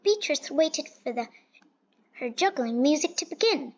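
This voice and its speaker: A woman's voice: high voice, lively intonation, measured pace. A woman reading a children's picture book aloud, expressively but with small stumbles and repeats. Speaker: storybook reader. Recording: dull, lo-fi sound.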